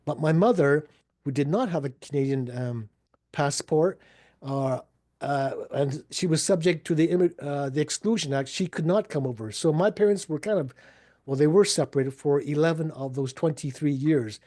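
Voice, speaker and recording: A man's voice: a little high, normal speed, dark tone. A man contributing author on a video panel, telling a family story with hesitant fillers and a wry touch. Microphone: video call.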